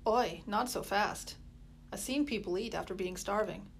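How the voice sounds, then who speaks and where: A woman's voice: medium pitch, normal speed, creaky, a little hiss or hum, natural voice. A woman voicing a cheeky, kind street boy with an easygoing, slangy way of talking.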